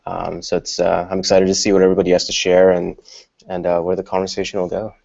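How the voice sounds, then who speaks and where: A man speaking as a guest on an online panel, measured and hesitant with many fillers. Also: sits low, completely flat delivery, creaky, darkish tone. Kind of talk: casual panel discussion. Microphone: video call. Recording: slightly muffled video-call audio.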